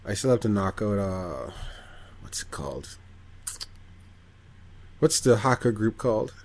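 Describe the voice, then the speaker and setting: A man's voice: lowish voice, big pitch swings, a little slow, a little mellow. A man co-hosting a podcast, chatting casually in a hesitant, wry way. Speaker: podcast co-host. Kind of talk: casual chat.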